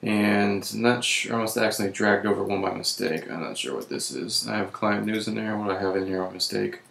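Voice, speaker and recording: A man with a voice that is giving out, who clears his throat often and keeps correcting himself while walking through software on screen. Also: fairly low pitch, fairly quick, echoey room. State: losing his voice. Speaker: web tutorial presenter. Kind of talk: screen-recorded software walkthrough.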